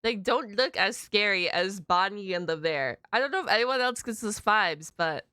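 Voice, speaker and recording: A woman's voice: medium pitch, lively intonation, moderate pace, pushed and bright, smooth, no echo, clean studio sound. A woman hosting a reaction video, commenting casually and off the cuff with short exclamations.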